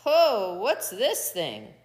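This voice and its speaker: Woman doing a little storybook toad, sly, stubborn, defiant, unflappable, animated delivery. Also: medium pitch, drawn-out words, big-room sound, heavily acted. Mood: curious, unhurried. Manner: defiant, matter-of-fact.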